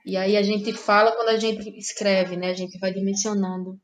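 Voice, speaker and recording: A woman from Ceará, a playwright speaking as a guest in a relaxed interview, laughing often and trailing off in pauses. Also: mid-range voice, low-quality recording. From Ceará, Brazil. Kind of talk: casual interview chat.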